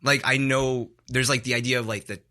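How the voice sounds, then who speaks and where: A man's voice: medium pitch, little pitch movement, a little fast, pushed and bright. A man guesting on a studio podcast, talking in a loose, reflective way and filling his sentences with "like". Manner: rambling, heavy use of "like", reflective. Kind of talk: casual studio chat.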